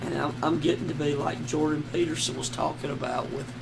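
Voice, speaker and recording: A hoarse man lying on the floor at home, talking slowly and despairingly in halting, trailing sentences. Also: on the high side, steady tone, noisy background. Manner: slow, halting, despairing, many trailing restarts. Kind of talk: casual personal vlog.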